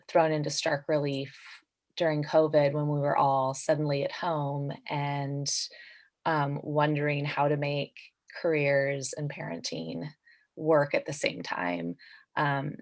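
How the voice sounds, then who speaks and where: Woman, low pitch, flat intonation, hesitant author talk, panel on a video call. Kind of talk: conversational book summary on a panel.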